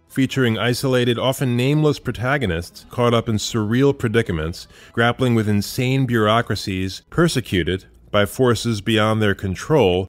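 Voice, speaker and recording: A man hosting an audio drama podcast, delivering a scripted introduction. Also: fairly low pitch. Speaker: podcast host and producer. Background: music.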